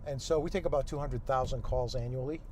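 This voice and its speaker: A man in his sixties explaining things as an interview guest, measured and halting, recorded in a moving car. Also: medium pitch, steady tone. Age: 60s. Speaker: guest, nonprofit executive director. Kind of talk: unscripted interview conversation. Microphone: clip-on lapel mic. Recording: road and vehicle noise.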